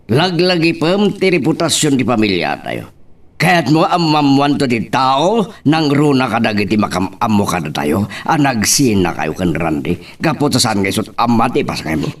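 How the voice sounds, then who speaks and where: A man with an Ilocano accent playing a stern, nagging family man in an audio drama. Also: lowish voice.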